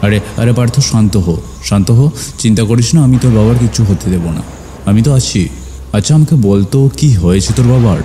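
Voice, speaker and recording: A man playing an earnest, caring village doctor who narrates his own ghost story in a dramatic, expressive way. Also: fairly low pitch, fast talker, a little hiss or hum, natural voice. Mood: anxious, reassuring. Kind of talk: dramatic first-person horror story narration. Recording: loud music behind the voice. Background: music.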